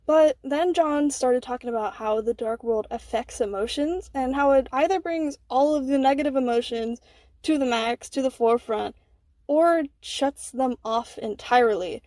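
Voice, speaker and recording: A woman's voice: high voice, normal speed, dark tone, no echo. A woman giving earnest, explanatory commentary in a personal voice message, as a listener writing in to a show.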